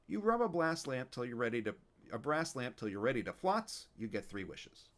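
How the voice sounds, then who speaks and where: Brief: grumbling old wisecracking genie, loud and raised-up voice, bouncy questions, put on by a male reader. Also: medium pitch, sing-song, moderate pace, voice pushed up. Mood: impatient. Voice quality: kvetching, wisecracking, sing-song questions. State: out of breath, tired. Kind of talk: storybook read-aloud.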